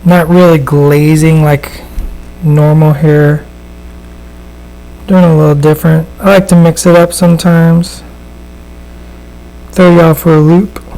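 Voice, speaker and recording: A man with a raspy voice, chatting casually and giving a live painting demonstration, with plenty of fillers and restarts. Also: fairly high pitch, level tone, slow pace, pauses often, warm tone, soft background music, rough recording. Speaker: miniature painter and host. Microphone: studio microphone at a desk.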